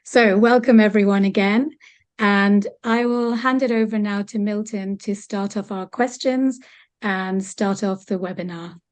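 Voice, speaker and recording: A woman's voice: mid-range voice, a little slow. Woman reading panel introductions. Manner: steady, scripted delivery. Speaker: webinar moderator. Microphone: video call.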